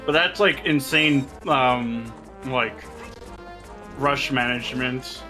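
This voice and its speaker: A man commentating a live game race in a casual chat, breaking down the game logic and throwing in quick jokes. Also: medium pitch, music in the background, poor audio. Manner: jokey, analytical, quick asides.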